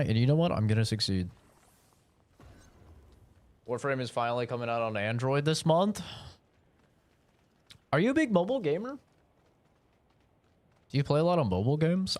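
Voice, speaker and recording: A man's voice: mid-range voice, dry recording. A young man chatting casually while streaming a game, rambling and swearing in a laid-back way. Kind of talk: casual live-stream chat while gaming.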